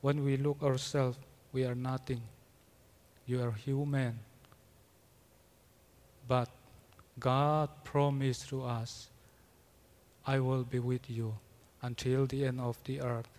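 A man who is not a native English speaker preaching a sermon, in halting English with frequent restarts. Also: mid-range voice, little pitch movement, darkish tone. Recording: long hall reverb.

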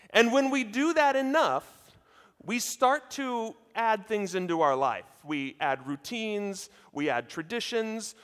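A man in his thirties from the United States preaching a sermon at a seminary chapel, with a loud, projecting voice and a conversational manner, speaking directly to his listeners. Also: high voice. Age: 30s. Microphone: handheld or lapel mic. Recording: hall reverb.

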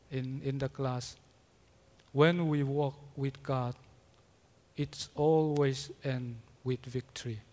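A man who is not a native English speaker preaching a sermon, in halting English with frequent restarts. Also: mid-range voice, a little mellow. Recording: long hall reverb.

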